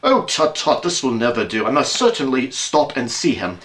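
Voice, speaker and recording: A man playing an earnest, easily astonished gentleman doctor, polite and flustered, in an amateur audio drama. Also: medium pitch, big pitch swings, normal speed. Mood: concerned, questioning.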